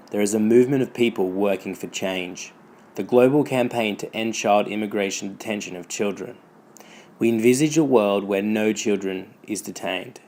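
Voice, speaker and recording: A man's voice: fairly low pitch, darkish tone, a little hiss or hum. A man delivering scripted narration as the narrator for a campaign.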